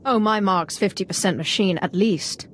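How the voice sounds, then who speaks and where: An actress playing a cold, ruthless Sith apprentice in a video game, haughty and curt. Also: female voice, medium pitch, normal speed, slight background noise, close to an everyday voice. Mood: cool.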